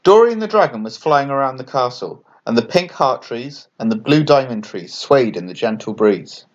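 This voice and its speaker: A man's voice: mid-range voice, expressive, moderate pace, muffled recording. A man narrating a scripted children's bedtime story as a storyteller. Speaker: author and storyteller.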